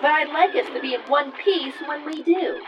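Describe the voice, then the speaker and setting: A woman's voice: sits high, normal speed, recorded from a distance, noisy background, natural voice. A woman playing a spirited, cautious starship engineer in a space audio drama, giving frank, urgent reports. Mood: urgent, worried.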